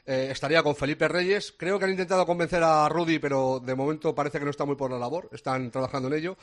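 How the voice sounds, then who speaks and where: A man playing a sports radio pundit with a Spain Spanish accent. He speaks in a slightly high voice, keeps a conversational tone, and trails off into fillers and restarts while he works out his point. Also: fairly even intonation, quick pace. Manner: conversational, hesitant with many fillers. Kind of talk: radio panel discussion.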